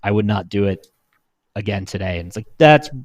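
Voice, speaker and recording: A man in his thirties speaking as a panelist in a casual chat, recounting things in an informal, halting, conversational way. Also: fairly low pitch, animated, no echo.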